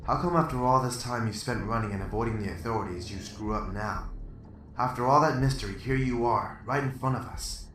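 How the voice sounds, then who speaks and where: A man's voice: medium pitch, fairly quick, a bit bright, recorded from a distance, natural voice. A man playing a stern, exasperated male police detective running an interrogation, recorded roomy and off-mic with music under it. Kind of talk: amateur radio drama acting.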